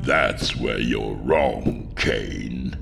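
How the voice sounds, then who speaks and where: Horror audio drama: male actor as commanding undead sergeant, extremely low and gravelly, slow, composed menace. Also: music in the background, heavily acted. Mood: calm menace. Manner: unhurried, authoritative, menacingly composed. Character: calm, commanding male prison sergeant from the afterlife. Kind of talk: horror audio story acting.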